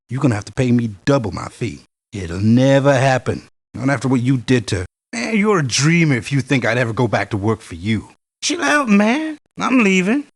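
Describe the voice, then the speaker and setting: A man's voice: medium pitch, a bit bright. An actor playing a cocky, laid-back male mercenary in a video game, slangy, brash and sardonic. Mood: scornful, cocky, then cool, offhand. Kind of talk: video game character voice lines.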